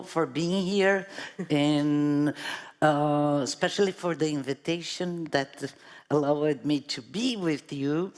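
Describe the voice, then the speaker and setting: A woman's voice: sits low, a little mellow. A woman from Brazil with a Brazilian Portuguese accent, a native Portuguese speaker, speaking thoughtfully as an artist on a conference panel and sometimes searching for words. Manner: thoughtful, searching for words, self-deprecating humor.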